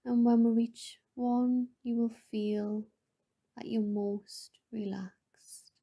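A woman leading a guided meditation in a soft, breathy, often near-whispered voice, with a soothing, gentle manner and lingering pauses between phrases. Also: fairly high pitch, little pitch movement.

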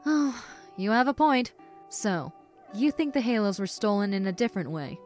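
A woman's voice: medium pitch, animated, moderate pace, natural voice. Keen lady detective, played by a woman, mid-range voice, earnest, amateur cartoon drama, faint music underneath. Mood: questioning. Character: keen young female police detective, earnest and impatient. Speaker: voice actor in a fan animated film. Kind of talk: scripted amateur dramatic dialogue.

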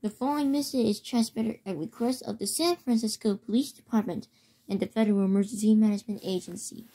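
Woman doing a homemade emergency alert announcement, mellow tone, mid pitch, trips over words and repeats them. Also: fairly even intonation, husky, low-quality recording. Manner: halting reading with stumbles and repeats. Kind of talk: scripted mock emergency alert read aloud.

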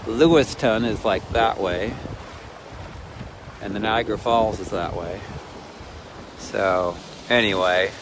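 A man's voice: lowish voice, slow pace, long pauses. A man in his fifties, chatty and wandering, filming a cold outdoor vlog on a phone held at arm's length by a lakeshore. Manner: chatty, wandering, self-mocking. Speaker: vlogger and ministry leader. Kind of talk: casual rambling selfie vlog. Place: outdoors on a windy lakeshore with waves. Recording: wind and surf noise on phone audio. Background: waves and wind.

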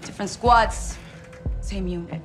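A woman's voice: medium pitch, a little slow, over music, close to an everyday voice. A woman playing a cunning, proud cartel boss in a video game, with a Mexican accent and a defiant, self-assured manner. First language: Spanish. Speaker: actor in a video game cutscene. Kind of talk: cinematic game acting. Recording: dramatic score over the dialogue.

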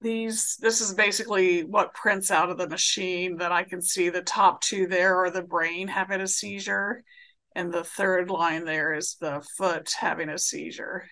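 A woman's voice: fairly low pitch, fairly even intonation, measured pace, bright, forceful tone, rough recording. A woman psychiatrist giving a slide presentation over a video call, explaining a treatment in plain clinical terms with some fillers. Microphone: video call webcam.

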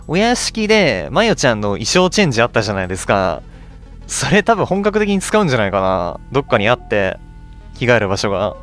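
A man's voice: medium pitch, a bit bright. A man hosting a let's play, chatting casually to viewers and reading bits of on-screen text aloud as he goes.

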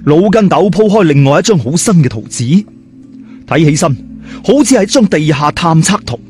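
A man's voice: on the high side, sing-song, normal speed, soft background music. A man with a Hong Kong Cantonese accent narrating a story as a dramatic audiobook.

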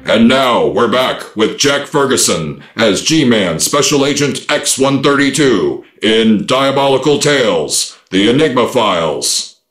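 A male announcer for a vintage-style radio serial. His voice is medium in pitch and echoey, with faint music behind the scripted delivery. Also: sing-song, a little slow, a bit bright.

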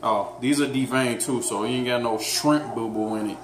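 A man's voice: medium pitch, moderate pace. Guy in his 30s cooking at home and joking with viewers, roomy kitchen sound, lots of background noise. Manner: relaxed, teasing, talks straight to viewers. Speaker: fitness vlogger cooking with his daughter. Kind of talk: casual home cooking demo. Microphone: camera mic on the counter.

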